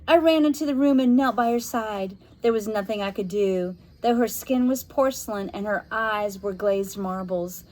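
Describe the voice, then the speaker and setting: A woman reading a spooky children's novel aloud, narrating dramatically with expressive, suspenseful, deliberate phrasing. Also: mid-range voice, low-quality recording. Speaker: storybook reader.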